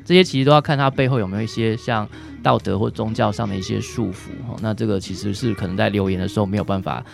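Low, creaky Taiwanese Mandarin man. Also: fast, music in the background. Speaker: doctor, interview guest. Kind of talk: explanatory interview answers on a radio show.

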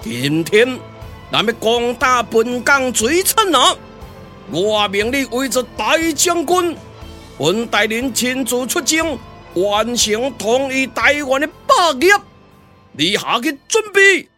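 A man's voice: very high voice, big pitch swings, takes it slowly, pushed and bright, faint music behind, full character voice. A man with a Taiwanese accent voicing a boastful, domineering Dutch governor in a theatrical puppet radio drama. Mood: commanding. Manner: grandiose, theatrical declaiming.

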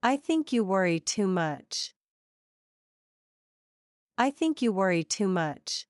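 A woman reading English example sentences slowly and evenly for learners to repeat. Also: medium pitch, very animated, dry recording, clean studio sound. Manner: careful, evenly paced repetition.

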